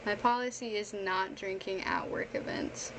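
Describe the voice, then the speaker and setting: A woman's voice: mid-range voice, wildly swooping pitch, moderate pace, creaky, noisy background. A young woman chatting casually on a livestream in an informal, self-deprecating, talkative way. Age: young adult. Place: bedroom streaming setup, indoors.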